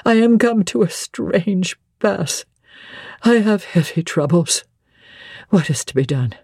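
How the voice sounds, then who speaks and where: A narrator puts on an ailing older gentlewoman from a storybook, bitter and full of grievance. She mutters in a querulous, scornful, agitated way. Her voice is dark and husky, recorded dry and close. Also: mid-range voice, lively intonation, moderate pace, no room sound. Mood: agitated, fearful.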